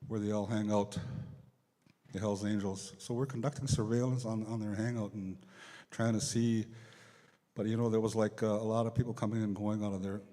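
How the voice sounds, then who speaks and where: A man giving an unscripted public address at an assembly, speaking haltingly and repeating phrases, over a hall sound system. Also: on the low side, level tone, warm tone. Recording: echoey large hall over PA.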